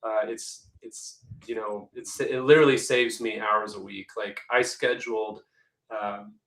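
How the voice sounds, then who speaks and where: A man who works as an executive assistant, presenting a webinar in a chatty, conversational way, slightly hoarse from losing his voice. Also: fairly low pitch, fairly even intonation, measured pace, a bit bright, reverberant, poor audio. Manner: chatty, conversational, many restarts.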